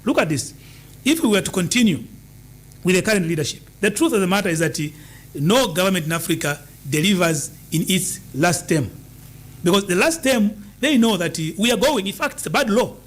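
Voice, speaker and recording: A man with a notably high-pitched voice speaks as a political guest. He talks in an emphatic, campaigning way, hammering home his points and repeating them for stress. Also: expressive, a little fast. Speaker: political party leader as studio guest. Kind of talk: political interview answers.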